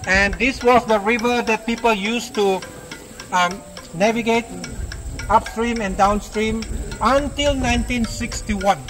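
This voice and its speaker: A tour guide with a Malaysian accent explaining things informally, pausing often mid-explanation. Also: mid-range voice, poor audio. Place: outdoors in a village. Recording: outdoor field recording with background music.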